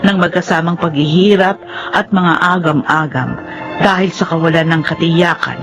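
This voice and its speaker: Casting: a careworn, poor Filipino mother, earnest, plain-spoken, for radio drama with clear music underneath. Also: sits low, moderate pace, lo-fi sound. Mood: worried, sorrowful. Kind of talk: radio drama acting and narration.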